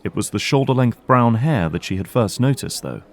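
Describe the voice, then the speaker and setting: A man's voice: mid-range voice, animated, normal speed, a faint crowd. A man narrating a scripted audio drama as storyteller.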